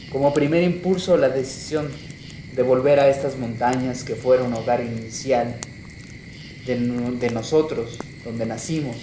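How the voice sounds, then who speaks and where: Echo and noisy ambience surround a man playing a militant guerrilla leader in a radio play. He has a middling pitch and speaks in measured, earnest, rhetorical phrases. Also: lightly acted. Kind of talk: radio drama acting, political speech. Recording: echoey, clearly noisy ambience.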